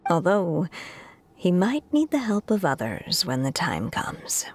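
A woman voicing a gentle, loving storyteller in a video game, with a soft, breathy, tender delivery. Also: on the low side, wildly swooping pitch, measured pace, exaggerated voice. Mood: earnest, hopeful. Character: gentle, loving woman storyteller, short-lived creator of a child.

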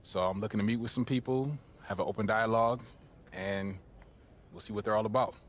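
Casting: male actor as young athlete, low voice, confident yet guarded and casual, game cutscene, background noise. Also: steady tone, a little fast, lightly acted. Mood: diplomatic. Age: young adult. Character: confident young male basketball draft prospect, guarded, easygoing. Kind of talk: naturalistic video game cutscene acting.

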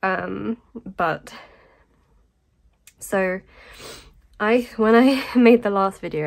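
A woman talking casually in a vlog voice-over while sick, with a blocked nose, a sore throat and a voice that keeps fading, rambling with lots of ums and little laughs. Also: fairly low pitch. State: congested and nasal from illness. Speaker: vlogger.

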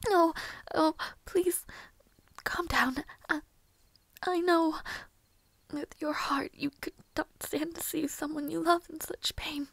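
A very high-pitched, breathy young maid, acted by a woman in an intimate audio roleplay. She is devoted and fragile, speaking very slowly in halting, tender half-whispers. The sound is close and dry. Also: fairly even intonation, a bit bright, no echo, heavily acted. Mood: soothing.

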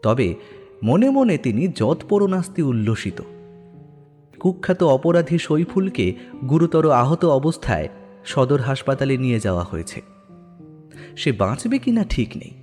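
A man's voice: medium pitch, very animated, a little slow, long pauses, a little mellow, soft background music. A man giving a dramatic scripted narration of a horror story.

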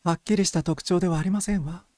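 A man's voice: sits high, steady tone, quick pace, darkish tone, dry recording, voice pushed up, lightly acted. A refined young society lady, acted out by a male narrator, in a raised, dry-recorded voice. She is curious and playful, speaking politely with a light teasing edge, as in a storybook reading. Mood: sceptical, curious.

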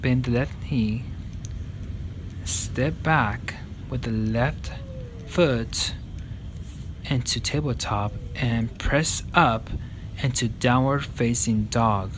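A man in his thirties guiding a yoga stretching session with slow, calm step-by-step cues. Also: mid-range voice, background noise. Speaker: yoga instructor. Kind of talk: guided yoga instruction.

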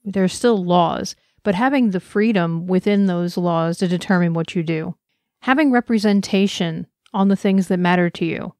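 A woman's voice: lowish voice, normal speed, a little mellow, dry recording, clean studio sound. A woman giving a personal, earnest conference talk, speaking with passion and reflection. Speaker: conference presenter.

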